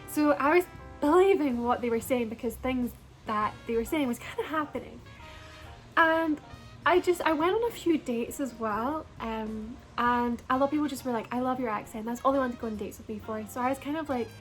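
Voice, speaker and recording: A young woman with a soft Scottish lilt that has picked up some American edges speaks in a bright, high register. She rambles casually to her viewers, full of likes and little laughs, over faint music underneath. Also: brisk, low-quality recording. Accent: soft Scottish, partly Americanized. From Scotland. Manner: chatty, frequent likes and ums, laughs between words. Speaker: vlogger. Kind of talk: casual personal storytime.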